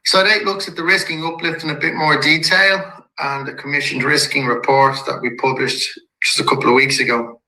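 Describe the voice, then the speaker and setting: A man with a slightly croaky voice from a head cold, a company executive calmly walking shareholders through an investor presentation slide by slide. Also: fairly high pitch. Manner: measured and businesslike, with occasional restarts. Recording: echoey room.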